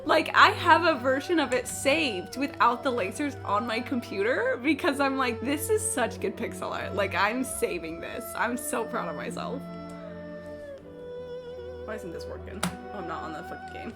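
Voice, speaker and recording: Sweary, chatty female game streamer, high swooping voice, casual livestream talk, faint music behind. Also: wildly swooping pitch, moderate pace. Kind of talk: casual livestream chat over gameplay.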